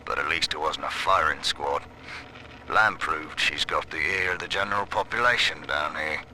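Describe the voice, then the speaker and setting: An actor playing a cynical, self-serving prison boss, wry and callous, in a video game audio diary. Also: male voice, very deep voice, moderate pace, bright, forceful tone, a little hiss or hum, full character voice, low-quality recording. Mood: smug, wry.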